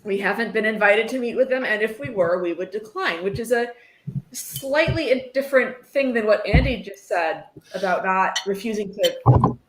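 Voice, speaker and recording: A woman's voice: medium pitch, normal speed. A woman hosting a livestream, chatting casually and reading passages aloud with sardonic commentary and free swearing. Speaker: livestream host reading a book aloud for charity. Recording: roomy, echoey sound.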